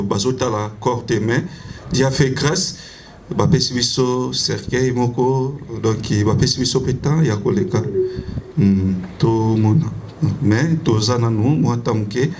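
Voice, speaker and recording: A man's voice: medium pitch, unhurried. Male announcer at a service, casual practical delivery, light background noise. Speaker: funeral master of ceremonies. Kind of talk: announcing the funeral schedule.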